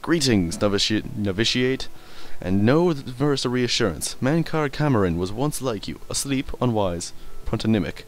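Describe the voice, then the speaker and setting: A man's voice: medium pitch, animated, normal speed, hardly any pauses. A man doing casual let's play commentary, rambling, swearing casually and stumbling over hard words as he reads. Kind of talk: casual gameplay commentary and reading in-game text aloud.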